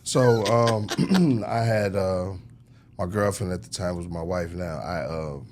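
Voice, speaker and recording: Middle-aged man, American accent, deep pitch, casual interview guest, slangy phrasing, noisy rumbling recording. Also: slow pace. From United States, Chicago. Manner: conversational, slangy, frequent throat clearing.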